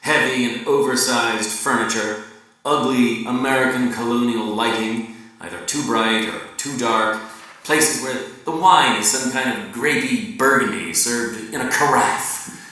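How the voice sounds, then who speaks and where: A man's voice: mid-range voice, unhurried. Man reading theatrically in an echoey room. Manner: wry, theatrical, shifting from loud emphasis to hushed murmurs. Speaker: reader at a live dramatic reading. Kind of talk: dramatic reading of a novel monologue. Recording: very echoey room, distant mic.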